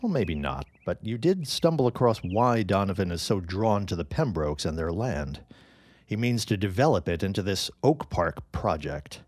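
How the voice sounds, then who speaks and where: Earnest polite gent from an old-time drama, lowish voice, really animated intonation, dry sound. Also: male voice, dry recording. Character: courteous, earnest widowed man, eager suitor, 1910s drama. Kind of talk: period audio drama acting.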